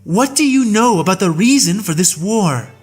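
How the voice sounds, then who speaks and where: A man voicing a sincere young woman knight who pleads quickly and earnestly in an audiobook. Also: high-pitched, lively intonation, a little mellow, slightly rough, soft background music, voice pushed up. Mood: pleading. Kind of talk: audiobook reading.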